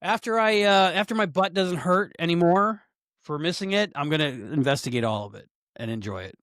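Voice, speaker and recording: A man's voice: a little high, expressive, a little fast, fairly bright tone, no room sound, studio quality. A man co-hosting a podcast, rambling casually with lots of fillers and restarts. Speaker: podcast co-host.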